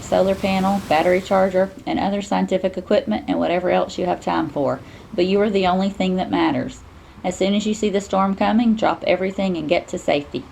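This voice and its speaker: A woman's voice: mid-range voice, creaky, a little mellow, slight background noise, natural voice, low-quality recording. A woman voicing a caring, protective storybook father, earnestly giving instructions to his daughter.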